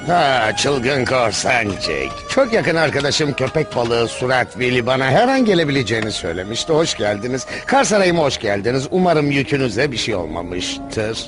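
Pompously grand snow-palace sultan for animation, male actor: effusive, courtly, big lively pitch swings over music. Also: moderate pace, few pauses, lightly acted. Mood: welcoming, grand. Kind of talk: dubbed cartoon voice acting. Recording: clear music behind the voice. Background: background music.

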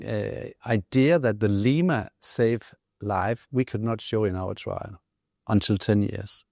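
A middle-aged Danish man speaks in a fairly low, creaky voice with a Danish accent. He sounds like a physician being interviewed about research, measured and factual. The recording is close and dry, on a lapel mic. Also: darkish tone, no echo. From Denmark. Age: middle-aged, around 60. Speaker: physician and clinical trial investigator. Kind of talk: expert interview explaining research findings. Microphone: lapel microphone.

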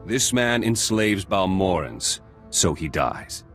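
Low-voiced, grim resistance fighter. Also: male voice, big pitch swings, slow, a bit bright, heavily acted. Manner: terse, grim. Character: hard, bitter male resistance fighter. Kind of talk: video game voice acting. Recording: music playing behind the voice.

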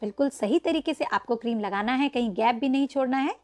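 A woman's voice: fairly high pitch, fast talker, over music. A woman narrating a step-by-step cake icing tutorial, patiently instructing viewers and calling them friends. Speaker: cooking channel host. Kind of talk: step-by-step baking tutorial voice-over.

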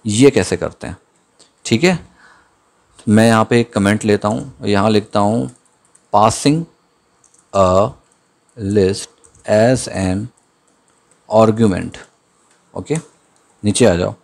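A man giving a screen-recorded coding lesson, thinking aloud as he types and slowing down to read out the code. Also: lowish voice, gravelly, darkish tone. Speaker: programming tutor. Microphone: voice-over on screen capture.

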